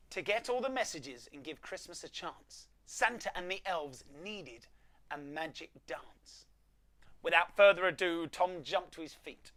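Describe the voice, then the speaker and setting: A performer reading a rhyming storybook aloud to children at home, with theatrical pauses and emphasis. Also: mid-range voice, a bit bright.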